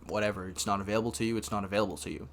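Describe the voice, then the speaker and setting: A man's voice: lowish voice, fairly even intonation, racing, slight background noise. A young man of about nineteen from Canada, talking casually to camera in a rambling way full of fillers and restarts. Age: young adult, about 19. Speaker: vlogger.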